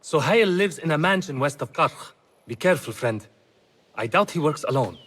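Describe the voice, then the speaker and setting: Anxious polite market trader in a game, highish husky voice, very earnest. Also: male voice, normal speed. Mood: confiding, cautious. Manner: earnest, formal, expressive. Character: anxious, courteous Baghdad market trader, indignant at injustice. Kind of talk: video game voice acting.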